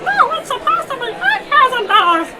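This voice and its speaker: A woman voicing a high-voiced comic puppet creature in a live Christmas radio play. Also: sing-song, normal speed, a crowd in the background. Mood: cheerful. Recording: live show, music and audience behind.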